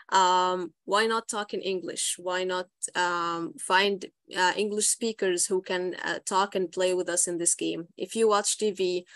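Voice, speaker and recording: A woman's voice: lowish voice, no echo. Young Egyptian-accented woman, English teacher, encouraging, dry close mic. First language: Arabic. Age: young adult. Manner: encouraging, advice-giving. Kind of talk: video call conversation.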